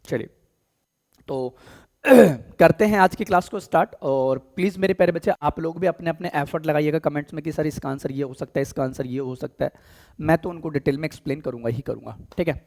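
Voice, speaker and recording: A hoarse man with an Indian accent teaching a live online class, speaking gently and slowly and clearing his throat often because of a sore throat. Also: a little high. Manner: affectionate, calls students "beta", clears throat often; deliberately soft, slow speech. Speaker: online exam-prep English teacher. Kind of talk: live online class, Hindi-English mix.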